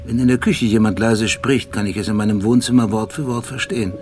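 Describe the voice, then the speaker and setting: An actor playing an obsessive, brooding police detective who narrates a radio play in a deliberate, precise, philosophical manner. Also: male voice, on the low side, steady tone, darkish tone, close to an everyday voice. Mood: low-key, insistent. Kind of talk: radio play acting and literary monologue. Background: music.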